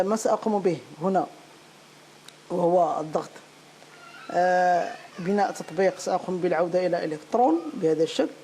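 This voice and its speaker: A woman with a lowish, mellow voice teaches a software tutorial step by step. She speaks off the cuff, haltingly, often repeating a phrase while looking for the next word. There is some noise behind her on a home-made recording. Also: low-quality recording. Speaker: programming tutorial instructor. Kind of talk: unscripted step-by-step screencast tutorial.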